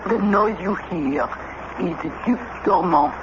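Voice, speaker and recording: Haughty witch, slow-spoken. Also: fairly low pitch, animated. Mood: cool, mysterious. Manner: haughty, measured, theatrical. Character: proud, sly French witch woman, coolly businesslike. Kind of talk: radio drama acting. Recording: noisy, muffled old radio broadcast recording.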